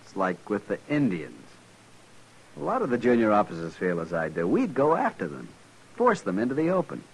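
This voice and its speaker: A man's voice: on the low side, wildly swooping pitch, normal speed, creaky, slightly rough, heavily acted, rough recording. A man playing a young, arrogant, eager cavalry lieutenant in a 1950s radio western, clipped and formal in manner. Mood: cocky, combative. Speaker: supporting actor in a western radio drama. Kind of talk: 1950s radio drama acting.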